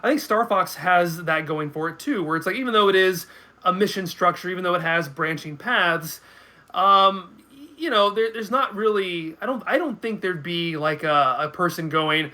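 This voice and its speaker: A man giving casual commentary as a guest, halting and often restarting his phrases. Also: high voice, sharp tone. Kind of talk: casual chat.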